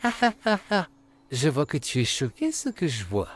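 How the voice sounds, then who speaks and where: A man voicing a friendly, courteous male puppet in an amateur comic dub, calmly addressing his friends. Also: a little high, moderate pace, dry recording, faint music behind. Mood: welcoming, amused. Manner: calm, courteous, repeatedly addressing listeners as friends.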